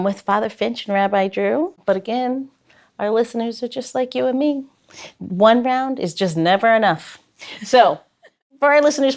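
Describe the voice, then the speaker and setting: A woman co-hosting a podcast, moving between casual banter and show announcements. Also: a little high. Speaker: podcast co-host.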